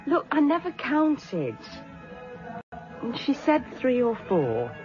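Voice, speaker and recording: A woman's voice: high-pitched, wildly swooping pitch, slow, heavily acted. Seeking a cutting, sardonic British working woman in a radio play, dull recording with music underneath. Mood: guarded, blunt. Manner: dry, defiant, cutting. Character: sharp-tongued, sardonic working woman, witness to a crime. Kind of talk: British radio drama acting.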